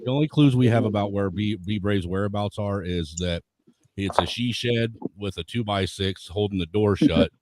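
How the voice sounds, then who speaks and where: A man in casual panel chat who makes blunt, deadpan jabs. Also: on the low side, moderate pace.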